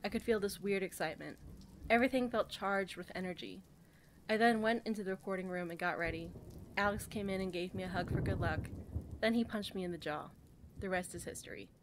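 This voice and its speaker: A woman's voice: mid-range voice, a little fast, background noise. Woman co-hosting a podcast. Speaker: podcast co-host. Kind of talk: casual podcast chat with read-aloud passages.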